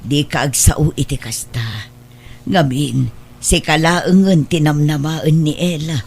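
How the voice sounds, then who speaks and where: A woman's voice: deep voice, slow pace, darkish tone, husky, exaggerated voice. A woman playing a worried, pleading elderly mother with an Ilocano accent in a radio drama. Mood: worried scolding. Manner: pleading, admonishing.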